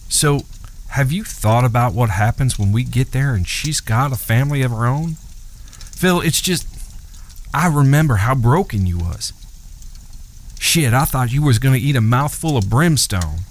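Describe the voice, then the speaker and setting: An actor playing a wary, loyal younger outlaw brother in a Western audio drama, folksy and plain-spoken, with an American Southern accent. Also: male voice, mid-range voice, expressive, normal speed, close to an everyday voice. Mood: concerned, loyal.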